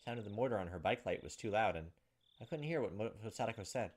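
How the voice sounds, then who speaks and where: A man's voice: fairly low pitch, animated, fast talker, darkish tone. A man hosting a gaming video, reading visual novel text aloud and adding casual commentary. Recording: game music behind the voice. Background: video game music.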